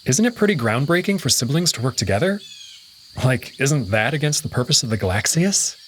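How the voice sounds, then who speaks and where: A man's voice: medium pitch, sing-song, a little fast, slight background noise, lightly acted. A man voicing a curious, candid young man who asks plain, casual questions.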